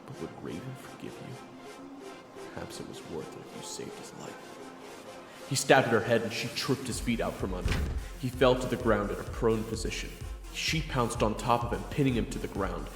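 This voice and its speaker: A man's voice: fairly low pitch, expressive, normal speed, gravelly. A man giving scripted, dramatic narration for an audio roleplay story. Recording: echoey reverb, music behind.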